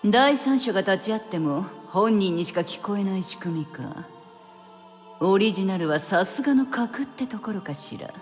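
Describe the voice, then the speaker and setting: A woman's voice: mid-range voice, animated, moderate pace, lightly acted. A woman playing a haughty, impatient witch in an anime game, condescending and teasing, with a curt, bossy streak. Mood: cool, knowing. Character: haughty, impatient, sultry adult female witch. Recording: long reverb over background music.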